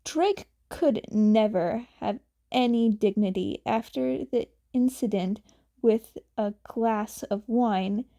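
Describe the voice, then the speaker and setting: Woman, amateur story reader, slow pace, mellow tone, slightly high voice. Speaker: amateur fan fiction reader. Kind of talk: narrated story reading.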